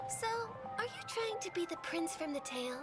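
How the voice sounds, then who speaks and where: An actress playing a worldly, candid investigative reporter in a video game, with a wry, knowing manner. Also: female voice, extremely high pitch, very animated, a little slow, heavily acted. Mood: wry teasing. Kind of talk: scripted video game voice acting. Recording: loud background music behind voice.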